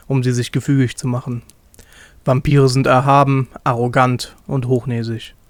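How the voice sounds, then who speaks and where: A man's voice: medium pitch, darkish tone, no echo. Man narrating a story, dry sound. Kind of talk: scripted narration of a comic story.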